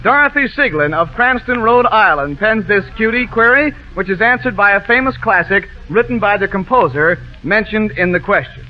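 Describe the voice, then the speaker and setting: High-voiced man hosting a 1940s radio quiz. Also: expressive, normal speed, hardly any pauses. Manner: wisecracking, teasing, chatty. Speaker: quiz show host, master of ceremonies. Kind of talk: 1940s radio quiz show hosting with casual joking patter. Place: radio studio show with band and audience. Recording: muffled 1940s radio broadcast, music behind.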